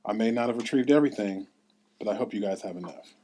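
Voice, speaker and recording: A man's voice: fairly low pitch, quick pace, mellow, natural voice. Man as solemn council elder, exiled, earnest, expository, drama table read. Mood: instructing.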